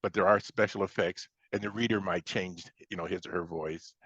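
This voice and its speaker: A man's voice: low voice, steady tone, a little fast, a bit bright, breathy edge, dry recording, highs cut off. An American man in his seventies from Chicago talking casually as an interview guest, hesitating and repeating words as he reminisces. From Chicago, United States. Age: 70s.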